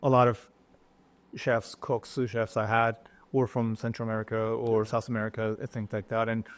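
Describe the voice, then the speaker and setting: A middle-aged man from Japan with a Japanese accent, a chef talking as a guest in an interview, pausing often and restarting his sentences. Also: fairly low pitch, level tone. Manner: halting, many fillers and restarts. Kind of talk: casual interview talk.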